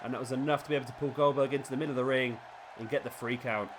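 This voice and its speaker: A man, sniffly with a cold, commentating a wrestling video game play by play and getting excited at big moves. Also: medium pitch, rapid-fire, over a noisy crowd, heavy background noise.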